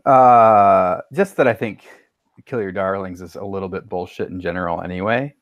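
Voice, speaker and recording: A man's voice: low voice, moderate pace, no echo, highs cut off. A man giving his opinions casually as a panelist on a podcast, with tentative starts and blunt views.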